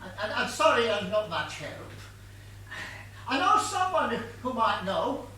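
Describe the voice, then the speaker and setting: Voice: mid-range voice, very animated, unhurried, bright, forceful tone. An actor playing a muddled, literal-minded gardener in a comic stage play, stammering and earnestly deferential as the character is questioned. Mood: flustered. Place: stage of a small theatre. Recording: distant echoey theatre recording, faint noise.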